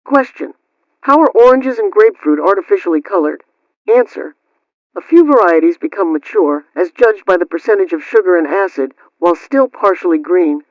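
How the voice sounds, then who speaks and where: A woman's voice: on the low side, expressive, moderate pace, darkish tone, dry recording, muffled recording, natural voice. A woman playing a prim 1920s radio homemaking host, reading household advice in a formal, instructional way over a band-limited old-radio sound. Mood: formal, instructive.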